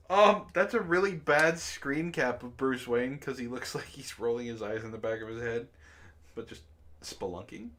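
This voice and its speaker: A man reading a story aloud to friends over voice chat, deadpan in the narration and laughing often between lines. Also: medium pitch, unhurried, long pauses, slight background noise. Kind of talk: casual group reading and chat.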